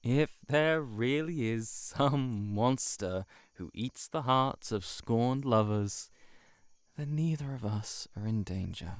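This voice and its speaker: A male actor voices an earnest, lovelorn monster in human guise for an intimate audio roleplay, recorded very close and dry. His mid-pitched voice moves slowly and haltingly. He pleads with the listener as someone desperate to be believed. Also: animated, no room sound. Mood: tender, yearning. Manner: hesitant, halting, emotionally pleading.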